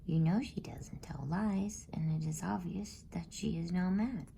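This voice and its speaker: A woman voicing an elderly male professor in a bedtime storybook reading, calm, logical and measured, in a soft, breathy voice. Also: lowish voice, a little hiss or hum. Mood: musing, deliberate. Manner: measured, musing, pedantic.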